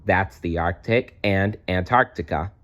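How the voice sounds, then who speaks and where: A man reading a storybook aloud with expressive narration and personal asides. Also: deep voice, unhurried. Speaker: storybook reader.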